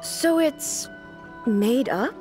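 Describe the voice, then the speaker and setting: A woman's voice: sits high, expressive, music in the background, heavily acted. A woman playing a dignified, earnest female ruler in a video game, speaking formally and literally, naive about ordinary life. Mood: bemused. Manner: formal, measured, literal-minded. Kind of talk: video game character acting.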